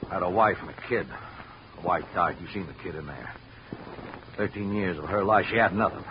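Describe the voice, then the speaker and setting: A man's voice: deep voice, brisk, natural voice. An actor playing a defensive, middle-aged working-class watchman with plain, ungrammatical speech in an old radio detective drama. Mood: defensive, pleading. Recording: dull vintage radio recording, faint hiss.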